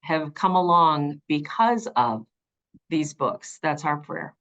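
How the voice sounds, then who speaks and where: A woman's voice: low voice, expressive, slow pace, a bit bright, dull audio. A woman speaking as an audiobook narrator being interviewed, earnest and effusive, repeating phrases for emphasis.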